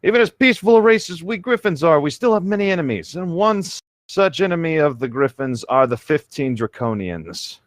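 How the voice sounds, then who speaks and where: Man doing a grave griffin lord, raised pitch. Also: sing-song, brisk, dry recording, clean studio sound. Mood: grave, foreboding. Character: courteous, weary male griffin lord, grave storyteller.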